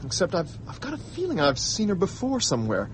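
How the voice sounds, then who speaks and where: Hot-headed young man, excitable, swinging intonation, blurting delivery, radio drama, faint background noise. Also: sits high, a little fast. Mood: flustered. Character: impulsive, hot-headed young male traveller.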